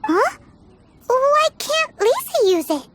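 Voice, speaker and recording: A woman voicing a tiny childlike fairy companion in a video game, excitable, easily spooked and nosy, squeaky and exclamatory. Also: takes it slowly, full character voice. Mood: wary. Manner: refers to self in third person, exclamatory. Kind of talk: video game character acting. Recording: music behind the voice.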